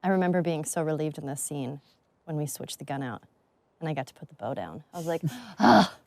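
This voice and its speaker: A woman speaking as an actress, recalling her work on set in a casual commentary, animated and often laughing. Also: deep voice, brisk, gravelly, no echo. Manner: giggly, animated storytelling.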